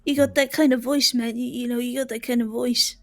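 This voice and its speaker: Roleplay bounty hunter: woman, slightly high voice, nervy and foul-mouthed. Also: steady tone, fairly quick, dry recording. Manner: jumpy, rambling, swears a lot. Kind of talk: in-character roleplay chat.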